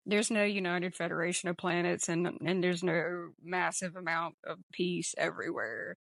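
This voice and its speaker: A woman's voice: on the low side, normal speed, creaky, fairly bright tone, no room sound. Woman podcaster with a close, dry sound. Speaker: podcast host. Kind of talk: casual film discussion podcast.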